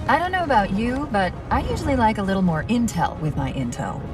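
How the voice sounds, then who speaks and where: An actress playing a witty, strained artificial intelligence companion in a video game, articulate and expressive. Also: female voice, mid-range voice, a little fast, music in the background, natural voice. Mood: wry. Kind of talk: video game cutscene acting.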